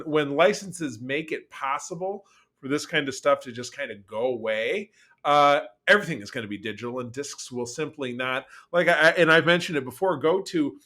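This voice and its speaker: Bright, higher-pitched man chatting on a podcast. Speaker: podcast host. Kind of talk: casual conversational podcast talk.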